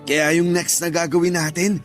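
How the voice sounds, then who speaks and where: Actor as young Filipino boyfriend, defensive yet eager to please, high male voice, radio drama over music. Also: level tone, normal speed, close to an everyday voice. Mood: casual, eager.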